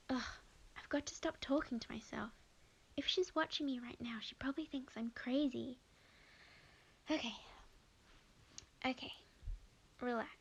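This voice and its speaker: Casting: actress as sweet young witch, high voice, unhurried halting delivery, expressive ASMR audio drama. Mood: musing, amused, then nervous, hushed. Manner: halting, expressive, talks to herself. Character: young witch woman, flustered, sweet, chatty, fond of friend.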